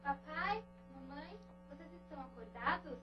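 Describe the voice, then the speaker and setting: Woman acting a high, timid, frightened daughter. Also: moderate pace, lo-fi sound, music in the background, close to an everyday voice. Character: timid, frightened young daughter seeking her parents. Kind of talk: audio drama acting.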